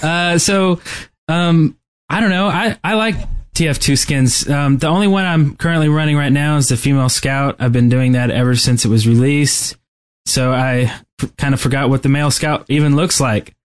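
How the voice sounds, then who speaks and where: A man's voice: fairly high pitch, moderate pace, clear, resonant tone. A man co-hosting a gaming podcast in an informal, joking, conversational way. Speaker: podcast co-host. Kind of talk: casual gaming podcast chat.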